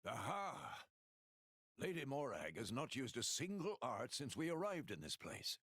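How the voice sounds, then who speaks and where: A steadfast, formal male companion from a video game. His husky voice moves widely in pitch and is recorded close and dry. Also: sing-song, a little slow, fairly bright tone, no room sound, heavily acted. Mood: triumphant. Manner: formal, precise. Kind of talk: video game cutscene acting.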